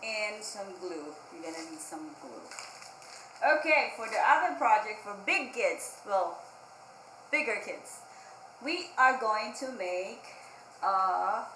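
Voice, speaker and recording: Female children's storytime host, unhurried simple instructions with repetition; echoey room with faint noise. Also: medium pitch, expressive, sharp tone. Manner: simple explanations with repeated phrases, addressing children directly. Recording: roomy echo, faint background noise.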